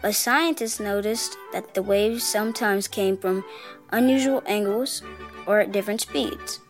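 A woman's voice: mid-range voice, a little slow, soft background music. A woman co-host giving scripted science narration for kids with upbeat quips.

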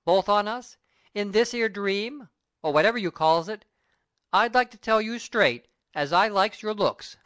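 A woman's voice: mid-range voice, animated, unhurried, fairly bright tone, dry recording, studio quality. Woman voicing a plaintive Cockney burglar. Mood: earnest, smitten. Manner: colloquial, ungrammatical, plaintive. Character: timid, plaintive working-class male burglar, comically earnest. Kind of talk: audiobook character reading.

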